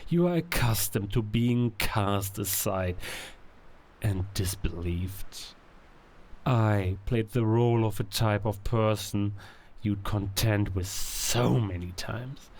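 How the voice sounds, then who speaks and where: An actor playing a scheming werewolf king who coaxes and manipulates in a theatrical, insinuating way, with drawn-out words and hushed asides, in an audio drama. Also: fairly low pitch, slight background noise. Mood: persuasive scorn. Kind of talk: cinematic audio drama villain acting.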